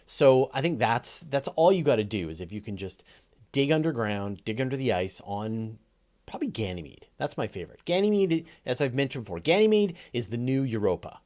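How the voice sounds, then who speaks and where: A man's voice: medium pitch, big pitch swings, a little fast, a little mellow. A man hosting a live question-and-answer show, explaining things in an informal, emphatic way and repeating phrases for stress. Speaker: host of a live astronomy Q&A show.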